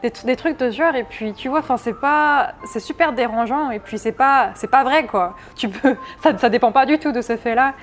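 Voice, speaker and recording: A woman's voice: a little high, moderate pace, hardly any pauses, soft background music. A woman in her twenties from France, chatting candidly in an interview and often restarting her sentences. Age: 20s. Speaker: interview guest. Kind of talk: casual interview talk.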